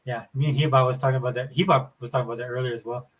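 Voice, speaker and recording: A man with a mid-pitched, slightly whiny voice chats informally. His delivery is loose and conversational, sprinkled with like and dude. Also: fairly even intonation, rapid-fire, fairly bright tone. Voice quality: somewhat whiny. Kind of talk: casual chat.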